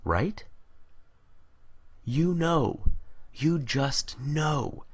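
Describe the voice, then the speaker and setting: A man narrating a scripted monologue in a deliberate, emphatic, questioning way, as if addressing the listener directly. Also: fairly high pitch, breathy edge.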